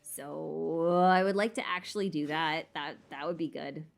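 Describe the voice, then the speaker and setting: Rambling woman streamer, slightly low voice. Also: a little slow, gravelly. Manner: rambling, self-deprecating, thinking aloud. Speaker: streamer answering viewer questions. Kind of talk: casual unscripted Q&A chat.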